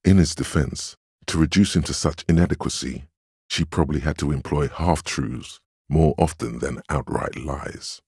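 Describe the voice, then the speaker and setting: An actor playing a stern, weary inner voice of willpower in a video game, speaking in a deliberate, grave, admonishing way with wry, protective authority. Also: male voice, very deep voice, vocal fry, a little mellow, slightly rough, no room sound, clean studio sound, exaggerated voice. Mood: grave, cautioning. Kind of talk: dramatic second-person game narration and inner-voice dialogue.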